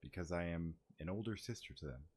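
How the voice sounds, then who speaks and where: Male gaming streamer with a deep voice who reads on-screen text and reacts off the cuff. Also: expressive, quick pace, darkish tone, husky. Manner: reads dialogue aloud, breaks off to react and swear. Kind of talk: reading game text aloud with casual reactions.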